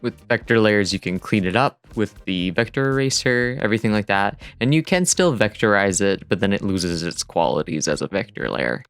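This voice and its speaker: A man's voice: fairly low pitch, big pitch swings, normal speed, hardly any pauses, a bit bright, clear, resonant tone. A man giving a casual, rambling tutorial voice-over in an informal, self-deprecating way. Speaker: digital artist narrating a dev vlog. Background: music.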